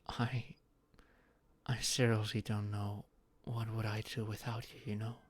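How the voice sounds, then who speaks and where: A young man playing a shy, anxious friend in an intimate audio roleplay, speaking haltingly with sighs and murmurs. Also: fairly low pitch, little pitch movement, no echo. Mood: sheepish, tender. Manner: halting, sighing, breathy murmurs rising to tearful outbursts.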